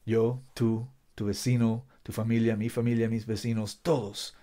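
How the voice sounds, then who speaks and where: A man's voice: fairly low pitch, level tone, a little slow, mellow. A man preaching a sermon in Spanish, which is not his first language, repeating himself emphatically with frequent restarts. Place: home in Costa Rica.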